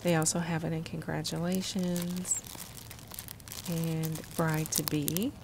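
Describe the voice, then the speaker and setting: Female shopping vlogger, low register, casual descriptive delivery, faint background noise of a store. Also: flat delivery, slow pace, darkish tone, low-quality recording. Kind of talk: casual shop-with-me narration.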